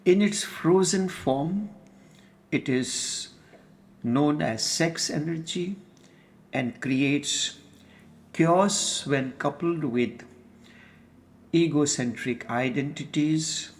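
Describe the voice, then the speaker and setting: A man giving a guided meditation discourse as a spiritual teacher, speaking solemnly in deliberate, halting phrases with pauses. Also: on the high side, a little hiss or hum.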